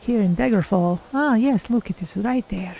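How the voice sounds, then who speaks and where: A woman plays a polite, curious cat-person exploring a fantasy game. Her voice is dark and mellow, her commentary measured and formal, with faint noise behind. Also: mid-range voice, gravelly, natural voice. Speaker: game streamer. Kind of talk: in-character gameplay commentary.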